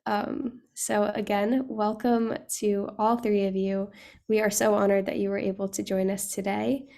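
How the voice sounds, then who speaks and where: Female host for an online panel: low-key and even in pitch, gravelly, formal and courteous. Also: measured pace. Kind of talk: introducing guest speakers at an online session. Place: online video call.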